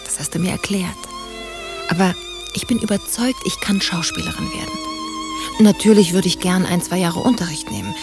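Swooping starstruck young romantic beauty. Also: female voice, a little high, wildly swooping pitch, normal speed. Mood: yearning. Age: young adult. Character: dreamy young French beauty, theatrical, pampered, starstruck romantic. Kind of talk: German radio drama acting. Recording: music playing behind the voice.

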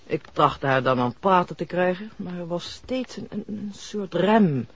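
A reserved, mysterious lady doctor in an old radio drama. Her voice is husky, her delivery formal and deliberate. The recording is close and dry, with a dulled vintage tone. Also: lowish voice, expressive, no room sound, lo-fi sound. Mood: guarded, reflective. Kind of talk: 1960s Dutch radio play acting.

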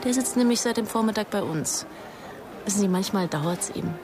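A woman's voice: medium pitch, fairly quick, music in the background, close to an everyday voice. Woman acting determined police investigator in radio crime drama, medium voice, firm, guarded, matter of fact. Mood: pointed. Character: determined, guarded female police investigator, new to her job. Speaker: actor playing a state police investigator.

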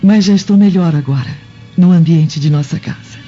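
A Brazilian woman playing a refined, uneasy Roman wife and mother in a radio drama, soft, formal and hesitant. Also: fairly low pitch, moderate pace, close to an everyday voice. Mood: evasive. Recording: dull lo-fi audio, faint music.

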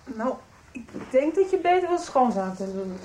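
An actress playing an affectionate, teasing young girlfriend in a radio soap, emotional and a little halting. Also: sits high, big pitch swings, warm tone, a little hiss or hum. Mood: curious, teasing.

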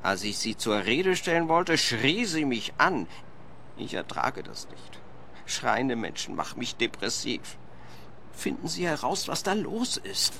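A man's voice: medium pitch, sing-song, a little slow, creaky, sharp tone, slight background noise, heavily acted. A man playing a fussy, self-justifying wealthy landlord in a radio play, plaintive. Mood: fretful. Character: fretful, evasive wealthy landlord, thin-skinned former boss.

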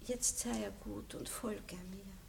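An actress playing a gracious, aging aristocratic lady in a 1960s radio play, speaking softly with a tinge. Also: medium pitch, steady tone, creaky, slight background noise. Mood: brisk, commanding. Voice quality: soft, measured, melancholy. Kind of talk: 1960s radio drama acting.

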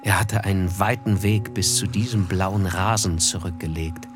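Guy as melancholy narrator in a radio play, lowish voice, reflective, faint music under him. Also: steady tone, moderate pace, natural voice. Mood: reflective, wistful. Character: reflective, melancholy male first-person narrator. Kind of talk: literary radio drama narration.

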